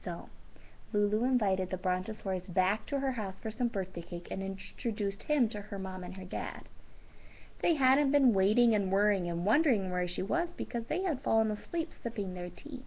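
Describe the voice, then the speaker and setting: A woman's voice: mid-range voice, animated, moderate pace. Woman reading a storybook, muffled lo-fi sound. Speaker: teacher reading aloud. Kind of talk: children's storybook read-aloud with teaching commentary. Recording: dull, lo-fi sound.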